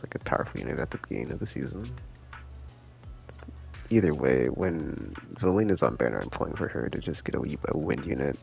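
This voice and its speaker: A man's voice: rumbling low, vocal fry, a little mellow. A man streaming a game live, chatting casually in a rambling, enthusiastic, slangy way. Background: game music.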